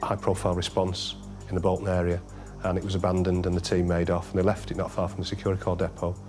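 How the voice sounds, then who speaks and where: A man's voice: deep voice, completely flat delivery, fast talker, a little mellow, faint music behind. A man recalling police work in an unscripted interview, matter-of-fact and colloquial like a detective.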